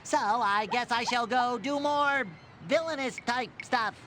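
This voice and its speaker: A man voicing a petty cartoon supervillain in a game cutscene, with a halting, drawn-out delivery. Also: very high-pitched, background noise. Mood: musing, villainous.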